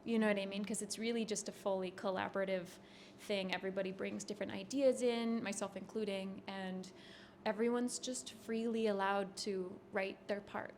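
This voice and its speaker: Relaxed woman in her thirties, faint hiss. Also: medium pitch, normal speed. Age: 30s. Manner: relaxed, rambling, laughs easily. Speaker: touring bassist guest. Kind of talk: backstage interview chat. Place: backstage at a concert venue.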